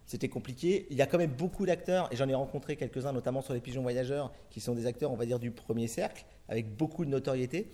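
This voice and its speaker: Fast-talking, rambling French man. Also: mid-range voice, mellow. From France. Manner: rambling, many restarts and fillers. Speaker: film director. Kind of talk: interview answers.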